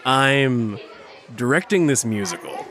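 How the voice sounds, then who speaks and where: A commanding celebrity hero acted by a man in an audio drama. He is decisive and brisk, pressing his plans on people in a mid-range voice. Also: mid-range voice, lively intonation, crowd noise. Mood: brisk, persuasive. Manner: decisive, insistent, talks over objections.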